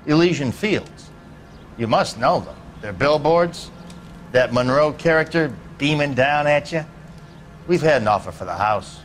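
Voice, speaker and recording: An actor playing a grudging, folksy American neighbor being questioned as a witness in a video game drama. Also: male voice, on the high side, expressive, measured pace, soft background music. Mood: defensive, offhand. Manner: folksy, offhand, defensive. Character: evasive, grudging male suburban neighbor and witness.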